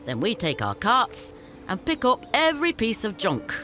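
An actress playing a shrewd female scavenger leader in a fantasy game, brisk and businesslike with a teasing edge. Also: fairly high pitch, wildly swooping pitch, a bit bright. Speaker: game character. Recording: game audio with faint music.

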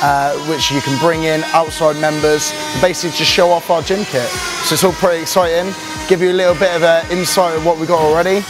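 Upbeat male trainer in his thirties, lightly raised voice, casual tour patter over a music bed. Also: a little high. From England. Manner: upbeat, chatty, many fillers. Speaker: personal trainer. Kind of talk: walking gym tour to camera. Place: large gym hall and corridors, indoors. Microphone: camera mic, handheld camera following. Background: fans and building work.

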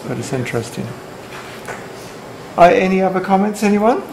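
A man's voice: high-pitched, lively intonation, normal speed, gravelly, darkish tone. Guy moderating a seminar, reading out online comments, ums, echoey room with noise. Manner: relays online comments, filler-laden. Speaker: discussion moderator.

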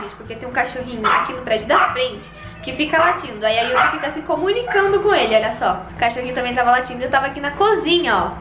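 A young woman from Brazil, chatting casually and giggling to a handheld camera in her room. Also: sits high. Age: young adult. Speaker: vlogger. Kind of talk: casual vlog chat to camera. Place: small bright bedroom and kitchen indoors. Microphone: handheld camera at arm's length.

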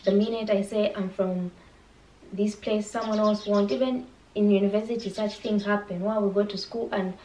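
A woman's voice: medium pitch, fairly even intonation, normal speed, mellow. A woman in her twenties with a Zambian accent, giving reflective commentary to camera as a reaction video host. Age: 20s. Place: small bare indoor room. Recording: echoey room, distant mic, faint noise.

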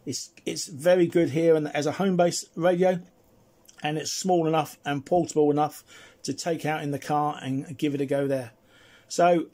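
Male radio hobbyist, fairly high slightly husky voice, friendly and chatty hands-on review style. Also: dark tone. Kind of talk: casual hands-on demonstration and radio chat. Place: indoors at a home radio desk.

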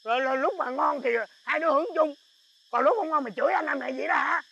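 A man's voice: very high-pitched, fast, creaky, sharp tone, dull audio, heavily acted. A man playing a boastful, hot-tempered bandit boss who turns into a stammering coward. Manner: blustering, then panicky stammering shrieks. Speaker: comedy sketch actor. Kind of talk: comic sketch acting.

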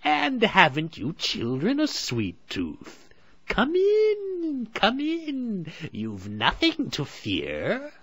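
A wicked old witch with a high, stretched-out, loud voice that creeps from honeyed coaxing to nasty threats, as a man puts her on. Husky and close on a dry storybook reading. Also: wildly swooping pitch, no echo, exaggerated voice. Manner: drawn-out, wheedling then shrill and harsh. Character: crafty, greedy old witch, cruel.

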